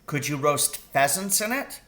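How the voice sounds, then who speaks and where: Man doing a curious young boy, storybook, raised pitch, bright, questioning, earnest, practical. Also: lively intonation, a little slow. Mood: inquisitive. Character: curious, practical, doubtful young boy talking to his dad. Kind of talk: children's storybook reading.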